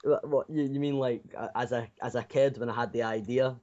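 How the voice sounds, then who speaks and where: A man's voice: medium pitch, steady tone, fairly quick, darkish tone. Teen guy in a casual interview, rambles and repeats himself a lot, muffled lo-fi sound. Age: 16, teenager. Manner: rambling, repeats and restarts phrases. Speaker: self-taught indie game developer being interviewed. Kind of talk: casual unscripted interview answers.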